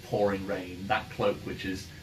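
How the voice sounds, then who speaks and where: A man speaking as game master, narrating a tabletop game in a casual, halting and amused way. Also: low voice, flat delivery. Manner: halting, amused, chuckly delivery. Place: indoor room, in-person game session. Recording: distant mic, noisy room ambience.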